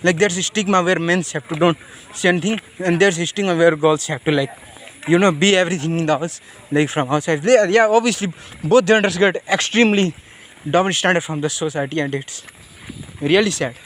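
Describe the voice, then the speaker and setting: A man with a Nepali accent speaking off the cuff in a personal monologue, halting and rambling, often repeating his phrases. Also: fairly high pitch, a little hiss or hum, poor audio. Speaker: vlogger.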